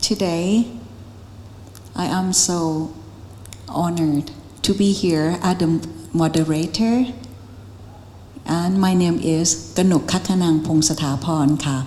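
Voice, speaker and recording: A woman's voice: on the low side, moderate pace, pauses often, dark tone. A woman with a Thai accent moderating a panel in English as a second language, polite and formal. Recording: echoey hall, faint background noise.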